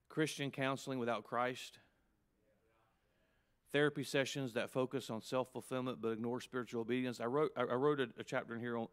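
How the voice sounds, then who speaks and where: A man's voice: mid-range voice, clear, resonant tone. Emphatic preacher in his fifties. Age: 50s. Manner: emphatic preaching with rising, punchy peaks and quick asides. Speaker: pastor. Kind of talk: sermon to pastors.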